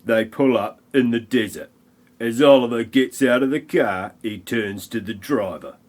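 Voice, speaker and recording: A man's voice: medium pitch, measured pace, a little mellow. A man narrating a comic parody audio play in a wry, mocking manner.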